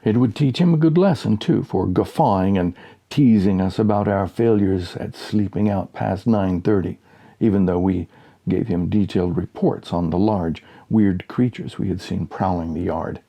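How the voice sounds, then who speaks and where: A man narrating a humorous short story as an audiobook, with dry, measured storytelling. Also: low-pitched, gravelly, warm tone.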